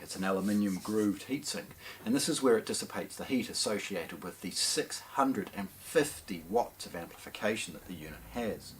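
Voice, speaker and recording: A man giving an unscripted product walkthrough as a shop presenter, explaining in a measured way and repeating words for emphasis. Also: on the low side, fairly bright tone, a little hiss or hum. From New Zealand.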